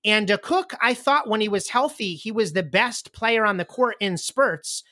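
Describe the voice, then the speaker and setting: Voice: medium pitch, moderate pace, no room sound, clean studio sound. A host in their late forties running a casual panel chat about basketball picks and handing out questions. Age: 40s. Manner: relaxed, leads the questions. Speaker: podcast host.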